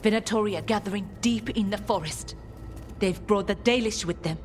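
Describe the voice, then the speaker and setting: An actress playing a serious forest scout giving reports in a fantasy game. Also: female voice, medium pitch, moderate pace, faint music behind, natural voice. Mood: serious, urgent.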